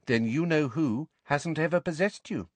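Audiobook girl, acted by a man: young teen, blunt, unabashed, matter-of-fact, pitched well up, lively intonation. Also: moderate pace, husky, no room sound, exaggerated voice. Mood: cool, simple.

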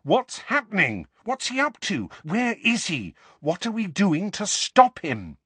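A male narrator puts on an angry teenage boy wizard from a storybook, pitched up high. He is bitter and sarcastic, firing accusations with big, explosive swings in pitch. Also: lively intonation, brisk, pushed and bright, husky, no room sound, heavily acted. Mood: urgent, angry.

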